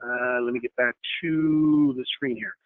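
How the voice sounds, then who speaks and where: A man leading a software training session over a screen share, explaining step by step with many fillers, slightly hoarse and clearing his throat. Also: medium pitch, steady tone, a little slow, no echo. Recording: tinny phone-like line.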